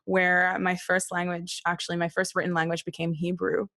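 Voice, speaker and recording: A woman's voice: lowish voice, monotone, moderate pace, fairly bright tone, no echo, studio quality. A woman from Australia, raised partly in Israel, telling personal stories casually and candidly as an interview guest. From born in Australia, raised in Israel and Brisbane. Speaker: podcast guest, singer-songwriter.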